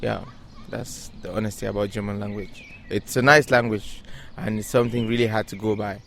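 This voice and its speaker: A man's voice: lowish voice, fairly even intonation, fairly quick, gravelly, a bit bright. A young man with a Cameroonian accent giving casual interview answers outdoors at a playground. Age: young adult. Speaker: interviewee, German learner. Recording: faint outdoor background noise.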